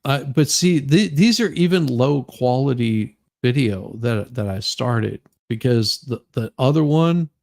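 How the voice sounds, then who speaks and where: A man chatting casually as a livestream host, halting and often restarting his words. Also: mid-range voice, lively intonation, vocal fry, a little mellow, dry recording, studio quality. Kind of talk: casual chat.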